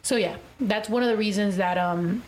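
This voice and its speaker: A young American woman hosting a casual vlog chat in a middle-pitched voice. She rambles, hops between English and Spanish mid-sentence and leans on fillers. A faint background hiss runs under her. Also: normal speed. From United States. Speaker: vlog host.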